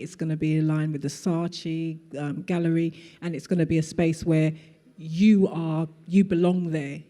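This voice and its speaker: A woman's voice: fairly low pitch, mellow. A woman artist answering a question at a live panel talk, earnest and full of "like" fillers. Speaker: guest artist. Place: event hall. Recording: long reverb of a hall.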